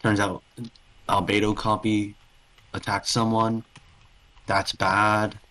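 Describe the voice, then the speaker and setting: A man's voice: lowish voice, flat delivery, slow pace, sharp tone. A man co-hosting a casual gaming podcast, talking off the cuff in loose pieces and correcting himself as he goes. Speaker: podcast co-host.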